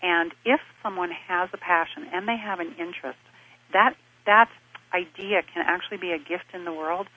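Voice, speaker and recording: A woman who grew up in Switzerland, answering interview questions in a measured, explanatory way and stringing her points into lists. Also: lowish voice, pushed and bright, slightly rough, poor audio. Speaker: interview guest, business advisor. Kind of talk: thoughtful conversational interview answers.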